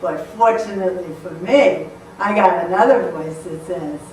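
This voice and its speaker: A woman telling funny stories as a motivational speaker on stage, lively and playful, often laughing. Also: fairly low pitch, normal speed. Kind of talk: humorous storytelling talk to a live audience. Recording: echoey hall, mic far off, faint noise.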